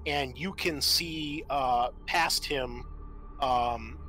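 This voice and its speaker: A man with a bright, mid-pitched voice acts as game master for a tabletop roleplaying game. He explains scenes and rules in a halting, improvised flow. Faint music plays behind him. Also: low-quality recording.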